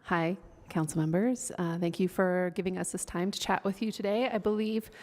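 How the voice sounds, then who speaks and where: A woman's voice: on the low side, steady tone, moderate pace, a little mellow, long reverb tail. A woman presenting to a city council, nervous and chatty, with fillers.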